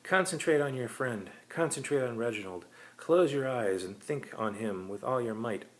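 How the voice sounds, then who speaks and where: A man reading a short story, voicing a female spirit medium with a calming, hypnotic, theatrical delivery. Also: mid-range voice, normal speed, voice pushed up, natural voice. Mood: hypnotic, coaxing. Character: sham female spirit medium, solemn and hypnotic, secretly cynical.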